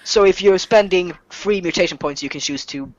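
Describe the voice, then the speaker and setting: Dull-sounding, halting Swedish-speaking game master, sex unclear. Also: medium pitch, no echo. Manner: halting, self-deprecating, jokey. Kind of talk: explaining tabletop game rules, off the cuff.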